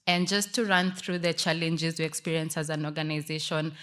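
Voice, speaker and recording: Woman, Kenyan accent, low pitch, flat intonation, conference presenter. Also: fairly quick, clear, resonant tone. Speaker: panelist, tech community manager presenting.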